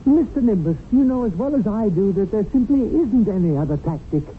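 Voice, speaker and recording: An actor playing an earnest, worried guardian spirit in a 1970s radio drama, confiding and fretful. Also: male voice, high-pitched, expressive, brisk, slight background noise. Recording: tinny old radio broadcast, faint music.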